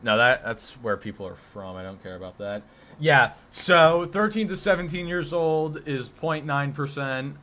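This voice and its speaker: A deep-voiced man of twenty hosting a casual live stream, answering his chat with rambling, joking banter and mock defensiveness. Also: expressive, normal speed, sharp tone. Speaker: livestream host.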